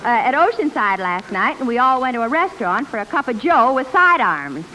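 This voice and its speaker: A female comedy player banters in the style of 1940s radio. Her voice sits a little high. The old recording is very noisy, with heavy hiss and clicks. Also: big pitch swings, a little fast. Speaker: comedy cast regular.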